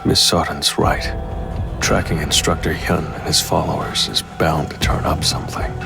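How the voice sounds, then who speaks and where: An actor playing a calm, resolute young male hunter in a game, speaking in a measured, serious way. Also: vocal fry, full character voice. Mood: determined. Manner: measured, low-key, serious. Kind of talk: dramatic game voice acting, inner monologue and dialogue. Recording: loud background music under the voice.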